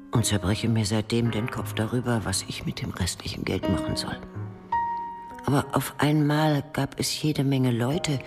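An actress playing a troubled, candid grieving woman in a German radio drama, her voice at times hushed and breathy. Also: rumbling low, heavily acted.

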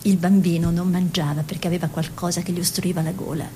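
A woman's voice: lowish voice, steady tone, quick pace, mellow, slight background noise, natural voice. An actress playing a proud, anxious painter's wife and mother, once a peasant model, in an emotive, hesitant radio drama. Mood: wistful. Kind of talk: intimate theatrical radio drama, reminiscing dialogue.

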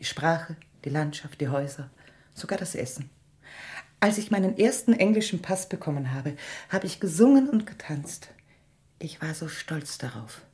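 A woman's voice: sits low, lively intonation, normal speed, a little mellow. An actress playing a middle-aged mother and former refugee, controlled, evasive and firm, in a radio drama. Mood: firm, proud. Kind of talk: dramatic radio play dialogue.

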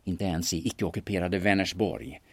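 Man playing a low-voiced, shifty schemer. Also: husky, dry recording. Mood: sneaking, scheming. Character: shifty Stockholm auction man, sneaking and scheming. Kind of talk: audio drama acting with muttered inner monologue.

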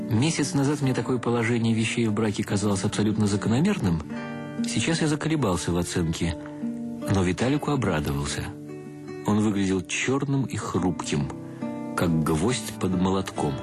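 A man's voice: fairly low pitch, natural voice. A man acting as a wry, self-mocking intellectual bridegroom, narrating a radio play in an ironic, mock-solemn, ornate way. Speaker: actor narrating a radio drama. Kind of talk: first-person literary narration, radio play.

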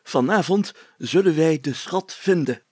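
Pompous, high-pitched, excitable village mayor. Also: male voice, husky, dry recording. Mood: pompous, eager. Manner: pompous, declamatory, drawn-out solemn phrasing.

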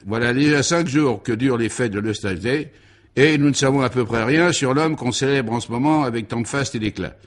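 A man's voice: medium pitch, a little fast, natural voice. Man playing an eager, emphatic reporter. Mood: earnest. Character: jovial male reporter friend, eager and talkative.

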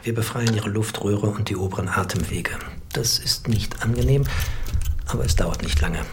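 A man playing a calm, authoritative hospital doctor in an audio drama, giving measured instructions over clinking noise. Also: fairly low pitch, lightly acted. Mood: calm, reassuring.

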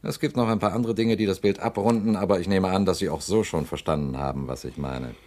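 A man's voice: deep voice, fast, darkish tone, lightly acted. An actor playing a scheming politician who presses his case with insinuation and veiled threats in a radio play. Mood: insistent. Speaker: politician confronting a company director. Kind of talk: radio drama acting.

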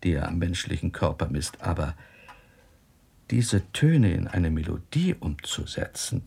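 A reflective doctor in a radio drama. The voice is deep and mellow with a creaky grain. He explains in a composed, measured manner over faint noise. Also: full character voice. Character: thoughtful, composed male doctor explaining a mystery.

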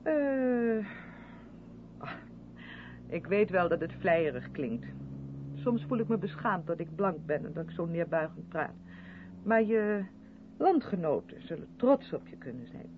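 A gushing, over-polite woman in a radio drama, quick to defend herself and faintly condescending. Her voice swings lively up and down. It sounds thin like a phone line, with a loud engine hum behind it. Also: fairly low pitch. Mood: gushing, uneasy. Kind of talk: radio play dialogue in a moving car.

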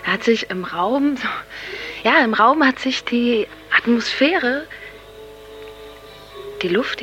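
An actress playing an awestruck young woman in a radio drama, searching for words in halting, broken-off sentences on a lo-fi recording. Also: on the high side, pushed and bright, full character voice. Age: young adult. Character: awestruck young woman groping for words after an LSD trip. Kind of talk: naturalistic radio drama dialogue. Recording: dull lo-fi tape, music underneath.

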